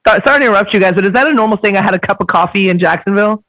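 A man with a high, bright voice hosts a podcast in lively, easygoing chat, often breaking into a laugh. The sound is dry and close, with no room around it. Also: racing, no echo, poor audio. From California, United States. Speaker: podcast host. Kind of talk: casual podcast conversation.

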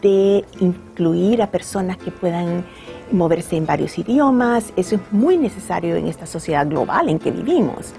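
Woman expert, gravelly voice, mellow tone, thoughtful halting answers. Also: fairly low pitch, lively intonation, faint music behind. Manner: thoughtful, with hesitations and restarts. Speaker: expert interviewee. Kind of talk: interview answers.

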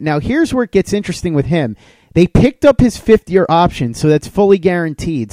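Guy hosting a sports podcast, voice a bit high, really dry close sound. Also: warm tone, no echo. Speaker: podcast host. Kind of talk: sports talk interview.

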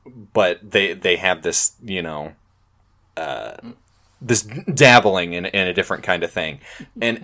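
Um homem apresentando um podcast em conversa descontraída, cheio de hesitações e recomeços. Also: male voice, sits low, a bit bright. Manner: rambling, many fillers and restarts. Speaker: podcast host. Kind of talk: casual podcast chat.

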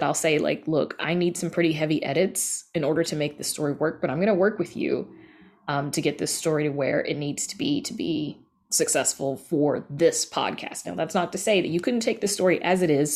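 A woman's voice: low-pitched, darkish tone. A woman talking casually as an interview guest, conversational and informal, acting out bits of imagined dialogue. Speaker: podcast creator and horror writer being interviewed.

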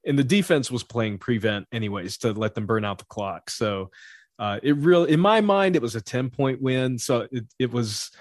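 A man's voice: mid-range voice, expressive, normal speed, no echo, clean studio sound. A man co-hosting a podcast in easygoing casual chat, laughing often. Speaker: podcast co-host.

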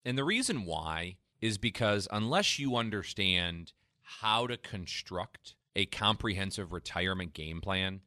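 A man hosting a retirement finance radio show, pitching a free tax analysis and explaining when to claim benefits. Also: expressive, unhurried, sharp tone, no room sound, clean studio sound. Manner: persuasive sales pitch, then explanatory. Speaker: financial advisor radio host.

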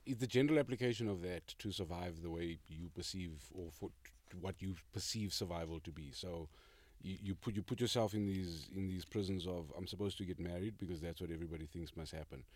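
A man hosting a podcast in a studio, asking reflective questions in a probing, halting way. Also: sits low, a little mellow, no room sound. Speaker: podcast host. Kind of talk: casual podcast conversation. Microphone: studio microphone.

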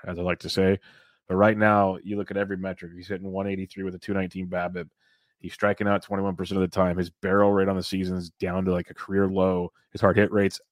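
A man's voice: sits low, no room sound, studio quality. A man in his thirties co-hosting a podcast, chatting easily about baseball players and putting questions to his partner. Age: 30s. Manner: easygoing, chatty, leads topics with questions. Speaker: podcast co-host. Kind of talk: casual fantasy baseball chat.

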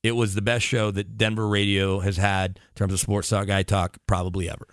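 A man's voice: fairly low pitch, little pitch movement, fairly quick, a bit bright, dry recording. Talkative American man in a dry podcast recording. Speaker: guest, sports radio broadcaster. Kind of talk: casual podcast chat.

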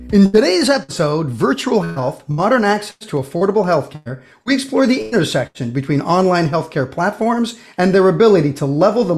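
High-voiced man co-hosting a podcast. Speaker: podcast co-host. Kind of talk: scripted podcast introduction and questions.

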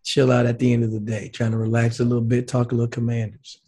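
A man co-hosting a casual sports show, relaxed and laid-back, his voice slightly raspy and scratchy. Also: mid-range voice, quick pace. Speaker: co-host, former player.

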